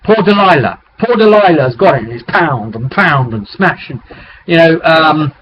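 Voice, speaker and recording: A man's voice: fairly high pitch, expressive, normal speed. Man rambling on a noisy, dull webcam. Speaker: game master recounting a tabletop roleplay session. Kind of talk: casual rambling session recap to camera. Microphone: webcam microphone. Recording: dull webcam audio with clear background noise.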